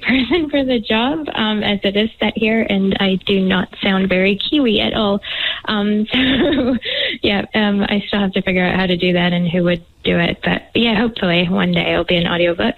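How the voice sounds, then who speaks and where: A woman's voice: medium pitch, moderate pace, slight background noise. A woman from Montreal, an author being interviewed by phone, talking casually with many hesitant ums. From Montreal, Canada. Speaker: author guest. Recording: tinny phone line.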